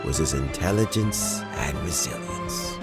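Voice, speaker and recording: An actor playing an elderly, measured and world-weary government official in a video game, reflective and deliberate. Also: male voice, rumbling low, lively intonation, exaggerated voice. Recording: music behind the voice.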